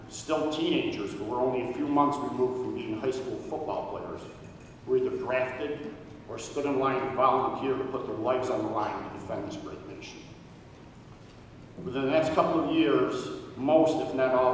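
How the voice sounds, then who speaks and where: A man's voice: mid-range voice, slight background noise. A middle-aged man giving an earnest, formal tribute speech at a podium on an auditorium stage. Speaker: speaker at a hall of fame induction. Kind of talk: prepared speech read at a podium. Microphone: podium microphone, recorded from far. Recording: echoey hall from far off.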